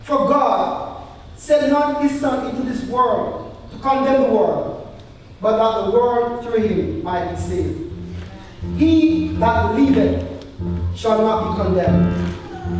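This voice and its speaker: Far from the mic in a very reverberant hall, an actor plays a holy male teacher. His voice is high, and he declaims old-fashioned scripture solemnly, with measured emphasis and calm authority. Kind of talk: live church stage drama, King James scripture recitation. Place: church hall, recorded live. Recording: very echoey hall, distant mic, faint noise.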